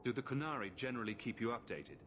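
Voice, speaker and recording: An actor playing a direct, skeptical adventurer hero in a video game, with a dry, questioning delivery. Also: male voice, mid-range voice, animated, fast talker, fairly bright tone, slight background noise, lightly acted.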